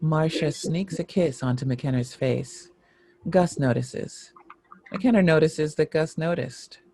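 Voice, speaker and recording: A man's voice: fairly high pitch, slow pace, gravelly, dark tone, slight background noise. A man giving scripted narration, reading a screenplay's stage directions.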